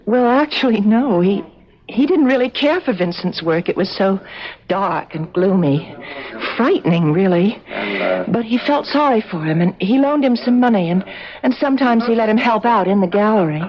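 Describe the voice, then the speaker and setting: A woman's voice: medium pitch, expressive, moderate pace, natural voice. Actress as an earnest, anxious young woman. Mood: wistful. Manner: hesitant, pleading. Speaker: radio drama actor. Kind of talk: 1970s radio mystery acting. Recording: muffled old radio recording with music.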